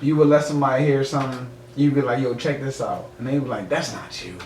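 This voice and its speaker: A man's voice: medium pitch, steady tone, quick pace, a loud crowd. A young man giving opinions in casual slangy chat, repeating phrases for emphasis and often checking he is understood. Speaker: podcast participant, rapper. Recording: echoey room, clear background noise.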